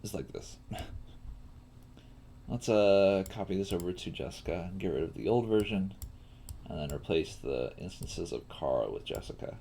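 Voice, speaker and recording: Man, coding teacher, casual thinking aloud, lively intonation, fry in the voice, self-questioning. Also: low voice, moderate pace, pauses often. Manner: musing aloud, often questioning himself. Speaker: coding teacher streaming a tutorial. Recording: faint typing and room noise. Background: computer keyboard typing and clicking.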